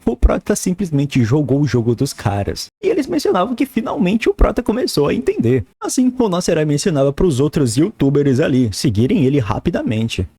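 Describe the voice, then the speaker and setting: A man's voice: on the high side, mellow, breathy edge, dry recording. A Brazilian man narrating an informal comic recap in slangy, colloquial language with jokey asides.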